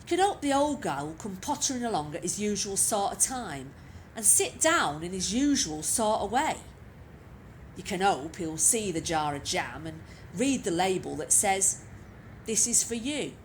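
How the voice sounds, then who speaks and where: A woman's voice: medium pitch, sing-song, moderate pace. A woman reads a short story expressively, in a conversational way that addresses the listener. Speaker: reader of short stories. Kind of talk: expressive scripted story reading. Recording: clearly noisy with background ambience.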